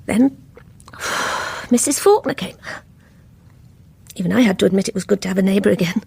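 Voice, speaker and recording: Troubled English woman, middle-aged, creaky voice, halting reflective narration, radio drama. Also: medium pitch, a little mellow. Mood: wry, reflective. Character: guarded, troubled middle-aged woman confiding her story.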